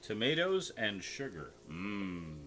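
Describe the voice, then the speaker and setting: A man's voice: fairly low pitch, big pitch swings, takes it slowly, pushed and bright. A man co-hosting a geek chat, talking casually while busy with something. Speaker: co-host of a weekly geek chat show.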